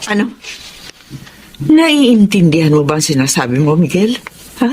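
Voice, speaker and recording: Woman acting a stern, animated middle-aged mother. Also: fairly low pitch, a little slow, a little hiss or hum. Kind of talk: Filipino radio drama acting.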